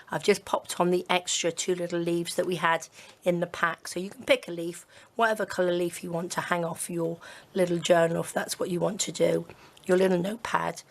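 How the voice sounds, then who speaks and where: A woman with a croaky, hoarse throat hosting a casual crafting tutorial, chatty and gushing with frequent fillers. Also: lowish voice. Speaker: crafting channel host.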